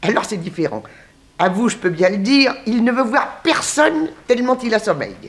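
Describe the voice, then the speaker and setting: An actress playing a talkative, obliging boarding-house keeper, confiding and exclamatory, in a 1960s French radio serial. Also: female voice, fairly high pitch, very animated, moderate pace.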